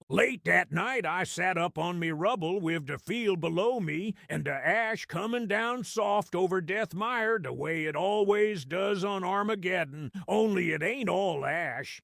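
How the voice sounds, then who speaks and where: A small, boastful goblin spins his war tale in a high, creaky voice. The delivery is theatrical and wry, with big swings in pitch, like a sly storyteller in an audio drama. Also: fairly bright tone, dry recording, studio quality, heavily acted. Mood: quiet, reverent. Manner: rough colloquial dialect, theatrical, wry.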